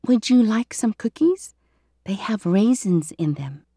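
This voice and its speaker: A woman voicing a kind, motherly woman with a gentle, hospitable manner. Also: medium pitch, lively intonation, unhurried, slightly rough, no room sound, studio quality. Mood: kindly.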